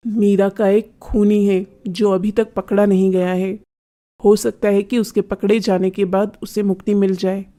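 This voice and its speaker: A woman's voice: medium pitch, flat delivery, measured pace, warm tone, pitched below the performer's own voice, lightly acted. A woman voicing a wise, solemn male priest in a children's cartoon story, grave and consoling.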